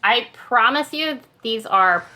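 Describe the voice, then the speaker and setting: A woman's voice: fairly high pitch, lively intonation, slow, sharp tone. Woman hosting a casual sports podcast quiz. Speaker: podcast host running a hockey trivia quiz.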